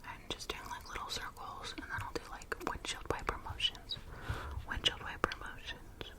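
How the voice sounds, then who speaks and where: Whispering, rambling woman. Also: moderate pace. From United States. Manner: rambling, chatty, goes off on tangents, repeats words softly. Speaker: ASMR makeup vlogger. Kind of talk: whispered ASMR makeup tutorial.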